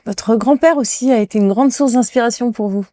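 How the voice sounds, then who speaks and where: A woman's voice: on the high side, fairly quick, mellow, dry recording. Chatty woman TV host, dry sound. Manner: chatty, with fillers. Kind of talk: TV interview hosting. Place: restaurant in Paris.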